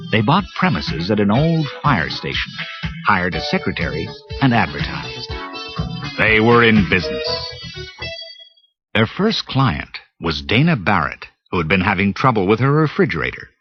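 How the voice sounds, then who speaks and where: A man narrating a read-along storybook in a lively, expressive way. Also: lowish voice. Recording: muffled cassette with music bed.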